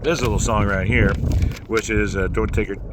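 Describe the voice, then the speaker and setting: A man with a low voice teaching singing in a folksy, rambling way, with many fillers and restarts. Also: steady tone, moderate pace. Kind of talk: casual instructional talk. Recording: noisy background.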